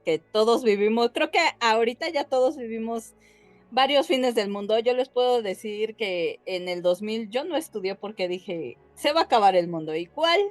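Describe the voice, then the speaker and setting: A woman's voice: on the high side, animated, moderate pace, no echo, soft background music. A woman co-hosting a podcast, casually telling stories to her co-hosts in a playful, colloquial way. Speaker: podcast co-host.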